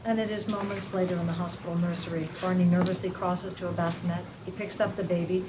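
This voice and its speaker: Low-voiced woman reading directions. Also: level tone, quick pace. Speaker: stage-direction reader. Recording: distant mic, loud room noise.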